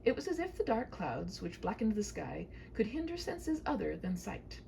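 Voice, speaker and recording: Wry woman storyteller, slightly creaky and animated. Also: medium pitch, moderate pace, a little hiss or hum, poor audio. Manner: whimsical, mock-formal, wordy. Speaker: storyteller reading own short story. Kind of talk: humorous scripted storybook narration with wry asides.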